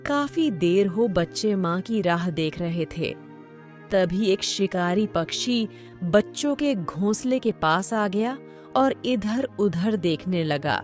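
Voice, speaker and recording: A woman's voice: mid-range voice, expressive, slow pace, darkish tone, soft background music. A woman reading scripted narration for a children's story.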